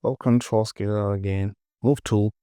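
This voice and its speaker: A man narrating a casual step-by-step software tutorial in short instructions, often repeating phrases and asking himself questions. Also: lowish voice, fairly even intonation, moderate pace, clear, resonant tone, no echo, clean studio sound.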